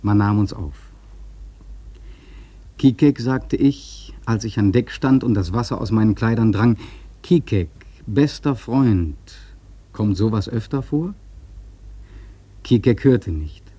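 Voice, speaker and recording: An intense sailor telling of his perilous voyage in a radio play. His voice is husky and mellow, his delivery literary and emphatic. The sound is close and dry. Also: lowish voice, animated, slow, pauses often, no room sound, heavily acted. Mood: wry, incredulous. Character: intense male sailor recounting a perilous whale hunt. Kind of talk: dramatic first-person radio play narration. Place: dry studio.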